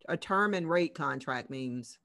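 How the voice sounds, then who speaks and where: A woman co-host casually asking questions into a microphone on a boom arm. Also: animated, unhurried, gravelly, fairly bright tone, no room sound, studio quality. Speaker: show co-host.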